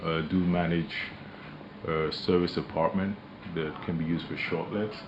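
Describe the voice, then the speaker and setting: Company director guesting on radio, very deep imposing voice, slow measured delivery, roomy dull studio sound with noise behind. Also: male voice, steady tone. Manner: measured, hesitant with many fillers. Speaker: managing director interviewed as guest. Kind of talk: radio interview, relaxed business talk.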